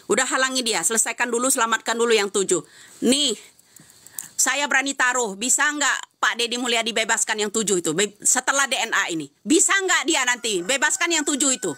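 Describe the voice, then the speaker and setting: A woman's voice: on the high side, expressive, a little fast, sharp tone. A woman giving heated live-stream commentary, answering comments with insistent, repeated rhetorical questions.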